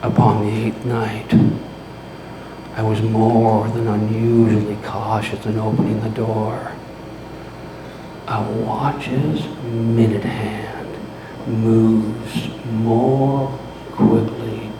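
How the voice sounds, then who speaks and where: Mad murderer narrator, gloating and defensive; extremely slow, dramatic delivery in a roomy space. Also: male voice, lowish voice, flat delivery. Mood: sly, measured. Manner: dramatic pauses, hushed whispers swelling into manic cries. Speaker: storyteller. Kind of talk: theatrical live story reading. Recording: roomy echo, clear background noise.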